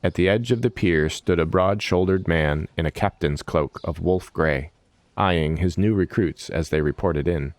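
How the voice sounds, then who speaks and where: Male fantasy narrator: deep register, dramatic scripted storytelling, dry studio-like sound. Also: normal speed, no room sound.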